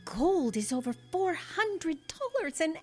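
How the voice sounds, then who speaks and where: A woman playing a doting, money-loving, excitable royal wife in an audio drama, gushing and given to gasps. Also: very high voice, a little slow, soft background music, full character voice. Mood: coaxing.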